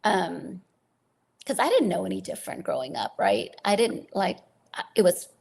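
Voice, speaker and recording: A woman's voice: medium pitch, animated, moderate pace, gravelly, darkish tone, breathy edge. A woman interview guest speaking informally and telling personal stories in a casual, conversational way. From Vietnamese refugee family, raised in Germany and the US. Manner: informal, often says "you know" and "right".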